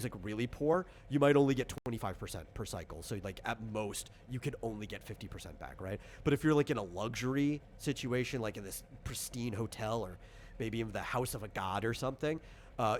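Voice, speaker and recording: Low-voiced man explaining game rules, background noise. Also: expressive, a little fast. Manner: informal, explanatory, illustrates with hypothetical examples. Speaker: game designer and game master.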